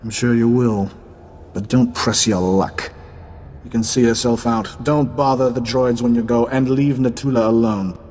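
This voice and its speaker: An actor playing a shady retired tycoon in a video game, guarded, brusque and condescending. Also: male voice, medium pitch, steady tone, moderate pace, a little mellow, slight background noise, natural voice. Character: wary, dismissive, shady retired male tycoon. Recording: faint game music behind the voice.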